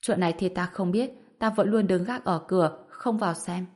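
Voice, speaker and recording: A woman voicing a self-important male guard on duty, calm, curt and officious, in an audiobook. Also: fairly low pitch, moderate pace, close to an everyday voice. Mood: respectful.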